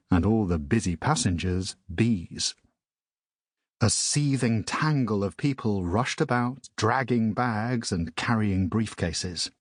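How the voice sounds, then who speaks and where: A man narrating a children's audiobook in a measured storytelling manner. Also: on the low side, husky, dry recording, clean studio sound.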